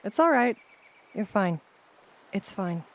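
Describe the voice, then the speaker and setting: A woman's voice: mid-range voice, animated, takes it slowly, a little hiss or hum. An actress playing a practical, reassuring female friend, speaking patiently in an audio play.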